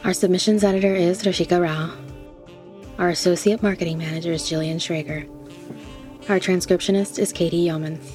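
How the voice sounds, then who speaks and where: A woman's voice: on the low side, steady tone, brisk, darkish tone. A woman reading scripted announcements and credits for a podcast. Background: music.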